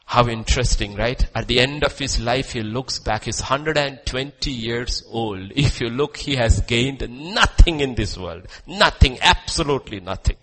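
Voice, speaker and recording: Bright-voiced Indian preacher, forceful man. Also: mid-range voice, moderate pace, hardly any pauses, a little hiss or hum, low-quality recording. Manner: emphatic, rhetorical questions and repetition; swings from loud declamation to hushed asides. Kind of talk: sermon.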